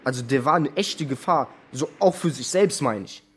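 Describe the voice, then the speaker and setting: A man's voice: mid-range voice, expressive, normal speed, a bit bright, husky. An actor playing a defensive young friend of a missing boy, slangy and blurting, in a naturalistic radio drama. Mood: defensive, agitated.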